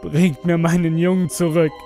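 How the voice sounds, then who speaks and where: A man voicing a distraught father who pleads with deep regret in a German horror audio story. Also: level tone, moderate pace, warm tone, soft background music, lightly acted. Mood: desperate pleading. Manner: pleading, emotional.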